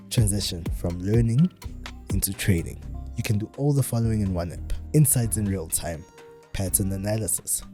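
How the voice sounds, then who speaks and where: A man's voice: on the low side, mellow. A man hosting a podcast, asking interview questions and reading an ad segment, often dropping in short affirmations. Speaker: podcast host.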